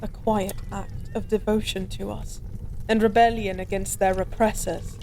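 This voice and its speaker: A woman's voice: medium pitch, little pitch movement, dark tone, slight background noise, close to an everyday voice, poor audio. A woman playing an ancient fallen goddess, drunk, weary and resigned, in an intimate, melancholy audio roleplay monologue. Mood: mournful. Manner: slow, brooding, melancholy drunken rambling.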